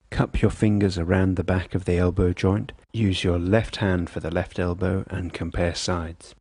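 A man reading scripted medical instruction in a measured, precise, impersonal manner. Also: low voice, slightly rough, no room sound, clean studio sound. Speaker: instructional narrator.

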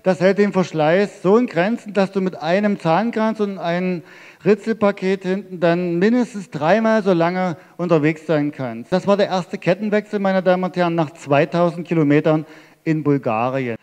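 Middle-aged German traveler presenting his slideshow live; higher-pitched male voice, chatty, talks straight to the crowd in a big echoing theatre. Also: normal speed, a little mellow, clear, resonant tone. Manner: chatty, explanatory, addresses audience directly. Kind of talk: live slideshow talk to an audience. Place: large theatre hall. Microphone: handheld microphone. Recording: reverberant hall.

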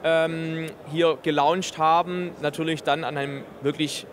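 A man in his thirties with a noticeably high voice presents a product as an exhibitor. He explains technical details with enthusiasm, speaking into a handheld interview microphone. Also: flat delivery, unhurried, slight background noise. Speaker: exhibitor presenting a loudspeaker system. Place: busy trade fair booth in a large hall. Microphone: handheld interview microphone held by interviewer.

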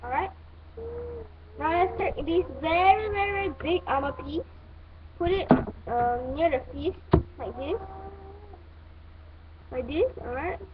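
A woman giving halting, step-by-step instructions in a hands-on toy building tutorial. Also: very high-pitched. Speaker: toy review host. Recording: muffled phone-like audio, clear background noise.

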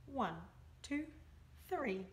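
A young woman reads a picture book aloud to children in an expressive, emphatic storytelling style. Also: high voice, drawn-out words. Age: young adult. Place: small indoor room by a window.

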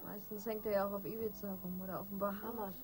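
Radio thriller role for a woman actor: impatient young woman, medium pitch, curt and dismissive, slangy delivery, prominent music behind. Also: flat delivery, quick pace, lightly acted. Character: brusque, impatient young woman brushing off her lover's worries. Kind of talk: German radio crime drama acting.

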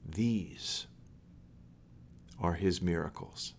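A middle-aged man reads a children's story aloud in a measured, deliberate way, recorded indoors in a small room. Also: low voice, a little hiss or hum.

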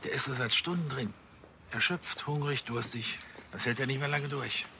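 An actor playing a fussy, self-assured middle-aged police chief in a TV drama. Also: male voice, medium pitch, moderate pace, vocal fry, bright, forceful tone. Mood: confident. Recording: some background noise and ambience.

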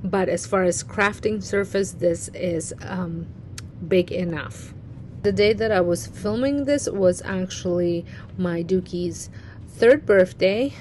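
Chatty woman with a low, dark voice. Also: takes it slowly, slight background noise. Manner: chatty, rambling, with frequent fillers and restarts. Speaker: home organizing vlogger. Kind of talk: casual walkthrough vlog. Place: cluttered basement craft room. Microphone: phone camera, handheld and on a stand.

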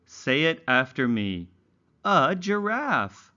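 A man's voice: fairly high pitch, big pitch swings, takes it slowly, bright, forceful tone, muffled recording. A man teaching young children in a read-along lesson, enunciating carefully with exaggerated, instructional intonation. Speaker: English teacher for young learners.

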